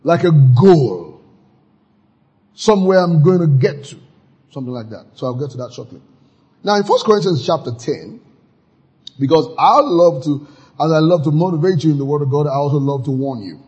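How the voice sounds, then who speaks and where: A male pastor preaching a sermon, exuberant, with sudden shouts of praise between stretches of scripture reading. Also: a little high, lively intonation, moderate pace, pauses often, highs cut off. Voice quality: very husky.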